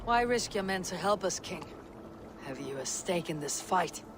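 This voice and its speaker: An actress playing a fierce, loyal young Viking warrior in a video game, speaking tersely and solemnly. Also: female voice, lowish voice, animated, normal speed, background noise, close to an everyday voice. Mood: wary, solemn. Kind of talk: video game cutscene acting.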